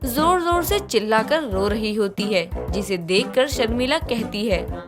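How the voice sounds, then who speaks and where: A woman's voice: on the high side, lively intonation, measured pace. A woman reading scripted moral-story narration as the storyteller. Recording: music behind the voice.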